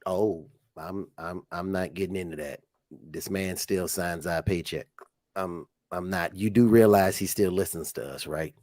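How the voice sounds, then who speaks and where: A man's voice: deep voice, a little slow, a little mellow, no echo, studio quality. A man co-hosting a wrestling podcast as a referee, chatting casually with nervous, stammering restarts.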